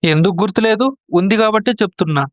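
A man's voice: sits high, fast talker, dry recording, lightly acted. A man playing an earnest young husband, muffled recording. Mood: resentful. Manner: earnest, questioning. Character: trusting, easily swayed younger brother and husband. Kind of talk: moral story audio drama acting.

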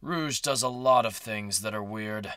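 A man's voice: on the low side, measured pace, a bit bright, clean tone. A man playing an aloof, sardonic cartoon hedgehog rival, curt and dismissive, in a fan audio drama. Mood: dry, knowing.

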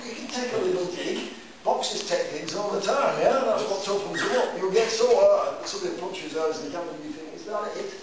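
A man's voice: sits high, brisk, a crowd in the background. An older man teaching a karate class in a chatty, loose way, often checking with "yeah?", recorded by a camera far off in a large room. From England. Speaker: karate instructor. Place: large echoey indoor dojo. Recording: echoey hall from far off, noisy.

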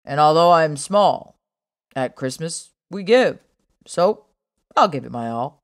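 A woman puts on a little male cat from a children's storybook, cool and eager. The voice sits much lower, with a drawn-out, earnest, laid-back delivery. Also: lively intonation, exaggerated voice. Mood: cool, confident.

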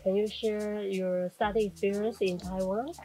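A woman's voice: mid-range voice, steady tone, moderate pace, mellow, soft background music, rough recording. A woman asking an interviewee short, plain questions off camera.

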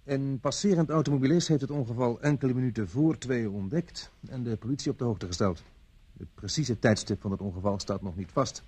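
A man's voice: medium pitch, darkish tone, slightly rough, natural voice. A man acting in a radio play as a dutiful traffic policeman giving an official, precise, slightly hesitant report.

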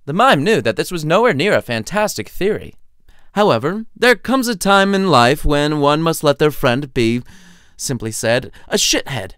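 A man's voice: a little high, very animated, moderate pace, fairly bright tone, smooth, no echo, studio quality. A man reading his own comedic short story in a wry, theatrical narrating style.

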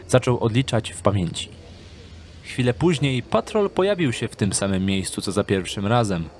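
A man narrating a scripted dark fantasy story as the narrator of an audio drama. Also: mid-range voice.

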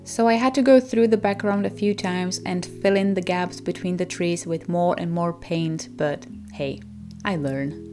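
Young Czech-accented woman, art vlog host; lowish voice, chatty, self-mocking, quick laughs. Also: fairly even intonation, over music. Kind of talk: voice-over vlog narration.

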